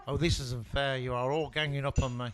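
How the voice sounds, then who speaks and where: A man's voice: mid-range voice, steady tone, brisk, natural voice. Man acting a tactless, querulous comic sidekick. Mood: aggrieved. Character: tactless, gullible, easily aggrieved male comic sidekick. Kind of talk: amateur comedy audio drama acting.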